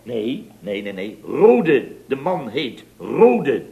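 A man's voice: mid-range voice, huge pitch swings, slow pace, gravelly, narrow, tinny audio, full character voice. A man acting a blustering, indignant builder boss who tells tall stories theatrically in an old Dutch radio comedy. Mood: bemused recounting. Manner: theatrical, exclamatory storytelling with repeated tag words.

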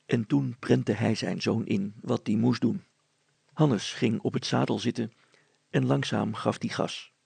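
A man reading a story aloud as an expressive narrator. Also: medium pitch, creaky, breathy edge, no room sound.

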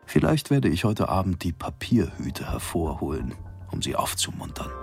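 An actor playing a vain, unflappable polar expedition captain who reads his journal in a measured, formal way. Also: sits low, sing-song, creaky, music in the background, heavily acted. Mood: composed, stately. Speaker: actor in a radio play. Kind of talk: dramatised journal narration.

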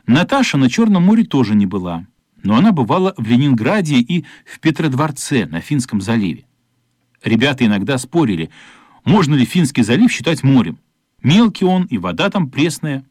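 An actor narrating a children's radio play as a thoughtful storyteller, in an expressive, lyrical literary manner. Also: male voice, mid-range voice, moderate pace, no room sound, studio quality. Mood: warm, amused.

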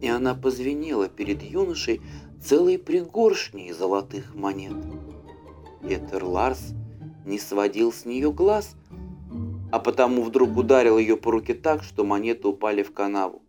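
A man reading a fairy tale as an audiobook narrator, in an expressive storytelling style. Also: medium pitch, moderate pace. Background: faint music.

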